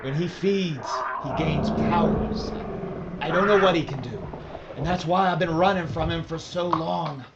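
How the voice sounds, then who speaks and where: A man plays a fearful frontier gun dealer in an audio play. His voice sits fairly high, and he speaks slowly and nervously, as if pleading. The recording is muffled and lo-fi, with noticeable hiss. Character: frightened, jittery male gun merchant. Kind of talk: Western audio drama acting.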